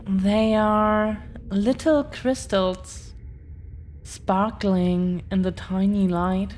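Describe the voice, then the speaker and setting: A woman playing an affectionate, earnest girlfriend of dragon descent in an intimate roleplay audio, speaking gently with lingering pauses. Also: medium pitch, fairly even intonation, a little hiss or hum. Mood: tender, reassuring. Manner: gentle, hesitant, lingering pauses between phrases.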